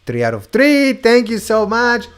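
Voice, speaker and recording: A man's voice: very high-pitched, huge pitch swings, a bit bright, clear, resonant tone. Argentinian-accented male host over a video call, playful and teasing, stretches out the reveals. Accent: Argentinian with Hebrew influence. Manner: teasing, upbeat, draws out reveals slowly. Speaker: mentalist show host. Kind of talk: playful live video-call variety show.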